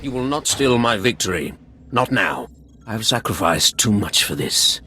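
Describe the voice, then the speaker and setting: A low-voiced, grandiose Sith villain. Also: male voice, very animated, normal speed, noisy background. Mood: vengeful, triumphant. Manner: grandiose, menacing declarations. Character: vengeful Sith lord, a grieving father and fanatical villain. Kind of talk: scripted video game acting.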